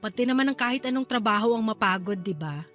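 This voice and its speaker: Caring young aunt, high voice, casual and teasing, hardworking, radio drama, music behind. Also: female voice, lively intonation, fast talker, a bit bright. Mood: breezy, defensive. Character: affectionate hardworking young aunt, easygoing, busy online seller. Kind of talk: Tagalog radio drama acting.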